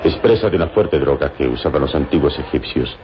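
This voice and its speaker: A man playing a calm, wise hero in an old radio drama, speaking gravely and deliberately. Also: extremely low pitch, music in the background. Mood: grave, explanatory. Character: calm, wise male hero with hypnotic powers. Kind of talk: old Latin American radio drama acting. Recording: dull, noisy old radio recording.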